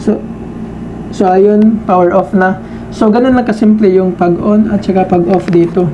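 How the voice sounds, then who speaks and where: A man giving a casual hands-on product demonstration as a reviewer, halting and repeating himself as he searches for words. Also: sits high, steady tone, muffled recording, background noise.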